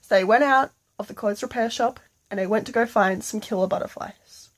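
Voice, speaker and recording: A woman's voice: mid-range voice, fairly quick. A woman telling an improvised bedtime story in a casual, playful, slangy way.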